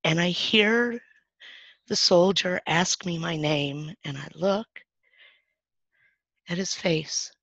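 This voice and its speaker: A woman acting as a frightened young girl in a first-person dramatic monologue, telling it in a halting, hesitant way with many restarts. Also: fairly low pitch, vocal fry, a bit bright, slightly rough, no echo, full character voice. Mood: awed. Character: frightened young girl hiding in her bed. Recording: dull, dry lo-fi recording.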